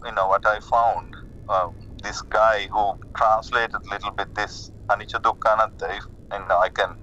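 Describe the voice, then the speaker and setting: Sri Lankan man, forties, hesitant conversational delivery, bright edgy tone, somewhat low pitch, on a dull line. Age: 40s. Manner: hesitant, halting, repeats words. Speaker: caller, lay Buddhist practitioner. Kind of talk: casual conversation about personal spiritual path. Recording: muffled phone call with rumbling noise.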